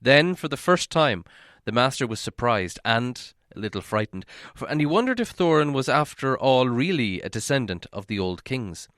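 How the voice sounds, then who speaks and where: A man's voice: mid-range voice, very animated, moderate pace, fairly bright tone, dry recording. Man reading a storybook, dry close sound. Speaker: audiobook reader. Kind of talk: expressive scripted storybook narration.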